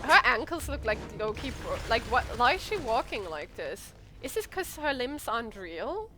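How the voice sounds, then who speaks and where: Animated, high-voiced young woman gaming. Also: normal speed, a little hiss or hum. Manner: playful, reactive, slangy. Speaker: gaming streamer. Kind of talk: casual gameplay commentary. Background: game music.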